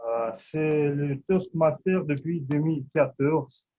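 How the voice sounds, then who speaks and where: A man hosting a speaking club meeting, introducing speakers in halting speech full of fillers. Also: fairly high pitch, steady tone, mellow. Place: meeting in front of an audience.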